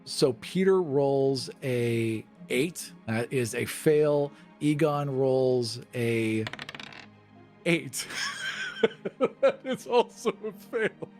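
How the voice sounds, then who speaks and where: A man's voice: medium pitch, expressive, drawn-out words, a little mellow. A playful man hosting a podcast and telling the story of a tabletop game in a casual style. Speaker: podcast host and game player. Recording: music playing behind the voice.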